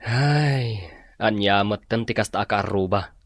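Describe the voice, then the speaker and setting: A man's voice: on the low side, unhurried, no room sound, studio quality. A man playing a concerned, practical companion in an Ilocano radio drama, reassuring, with an Ilocano accent. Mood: consoling.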